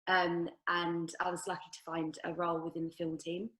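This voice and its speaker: A young woman talks about her work in a casual, unhurried way, dotted with ums. Her voice is fairly low and stays flat in pitch. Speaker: production assistant, panelist. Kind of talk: career panel talk.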